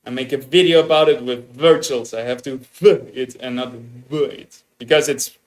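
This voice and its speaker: A young man with an Austrian German accent, chatting excitedly to his viewers as a game streamer. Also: mid-range voice, normal speed. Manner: excitable, upbeat, chatty with restarts. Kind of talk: casual livestream chat, greeting viewers.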